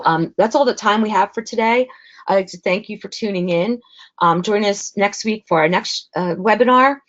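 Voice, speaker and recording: Woman presenting a webinar, lo-fi audio. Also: lowish voice. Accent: Spanish. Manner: conversational with frequent fillers.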